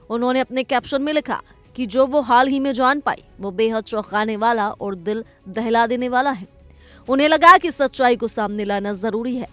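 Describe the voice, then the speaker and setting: A woman's voice: on the high side, fairly bright tone. A woman presenting a scripted entertainment news report as a narrator. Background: background music.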